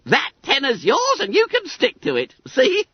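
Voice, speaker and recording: A storybook reader voicing a boastful, fat schoolboy, pompous and gleeful, with a squeaky, trilling voice. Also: huge pitch swings, a little slow, lo-fi sound, heavily acted. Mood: triumphant. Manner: pompous, gleeful, muddles long words. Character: boastful, fat, bespectacled schoolboy, smug and indignant.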